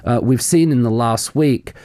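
A man from the south of England speaks with a southern English accent and a mellow, dark tone. He is a guest in a radio studio and explains history in a relaxed, chatty way, often pausing on "um.". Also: medium pitch. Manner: relaxed, filler-heavy explaining with frequent ums. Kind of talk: casual radio chat.

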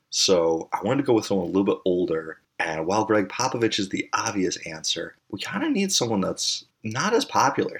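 A man's voice: on the low side, creaky, husky. A man hosting a podcast, talking in a rambling, enthusiastic monologue. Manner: rambling, enthusiastic, many fillers. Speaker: podcast host.

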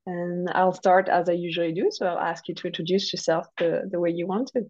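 A woman's voice: on the low side, fairly even intonation, a little fast, a little mellow. A woman hosting a casual interview podcast, informal and thinking aloud as she phrases her questions. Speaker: podcast host. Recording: slightly dull, lo-fi close recording.

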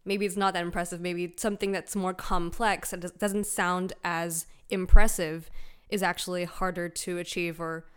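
A woman's voice: lowish voice, flat delivery, normal speed, hardly any pauses, clear, resonant tone. A young woman from Malaysia with a slightly American accent, chatting freely as a guest in a podcast conversation, full of "like" fillers. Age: young adult. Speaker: guest, emerging actor.

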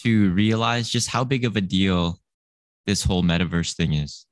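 A man's voice: low-pitched, moderate pace, no room sound. A man hosting a casual chat among friends, rambling with many fillers. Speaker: podcast host.

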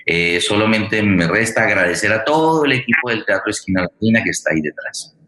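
Deep-voiced, halting Colombian man hosting. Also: lively intonation, bright, forceful tone. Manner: halting, repeats and rephrases words. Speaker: host of an online radio theatre forum. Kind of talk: spontaneous closing remarks and thanks. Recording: roomy, echoey sound.

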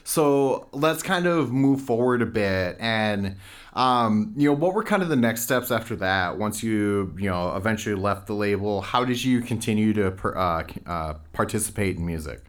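Friendly lower-voiced male podcast host. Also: lively intonation, normal speed. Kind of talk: casual interview.

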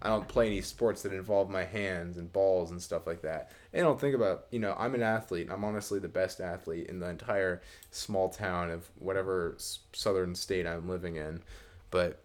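Deep-voiced young American man, rambling casually. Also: fairly even intonation. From American Midwest. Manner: rambling, halting, swears casually. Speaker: podcast co-host. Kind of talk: casual comedic chat.

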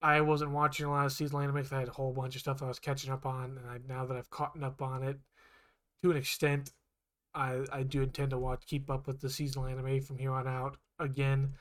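Flat-voiced man chatting casually. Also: mid-range voice, quick pace. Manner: rambling, self-correcting, even-toned. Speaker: podcast co-host. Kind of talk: casual chat.

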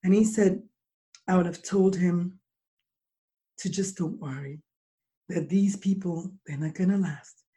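A middle-aged woman hosting a podcast, with a deep, husky voice from swollen vocal cords, talking slowly and deliberately when she explains. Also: warm tone. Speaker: podcast host. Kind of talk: casual podcast interview.

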